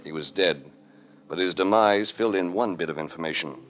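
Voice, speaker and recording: An actor playing a cool, commanding investigator in an old radio mystery, with terse and authoritative delivery. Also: male voice, low voice, fairly quick, a bit bright. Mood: grim narration. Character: cool, commanding male investigator, hard-boiled and shrewd. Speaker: lead actor and narrator. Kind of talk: 1940s-50s radio detective acting. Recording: vintage radio drama recording.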